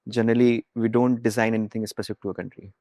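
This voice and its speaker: A man's voice: fairly low pitch, little pitch movement, rapid-fire, warm tone, no room sound, clean studio sound. A man with an Indian accent, a startup founder talking conversationally in an interview.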